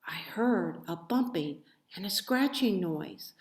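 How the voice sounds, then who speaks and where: A woman reading a children's storybook aloud as expressive narration, with dramatic, deliberate phrasing. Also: on the low side. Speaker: storybook reader. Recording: echoey room.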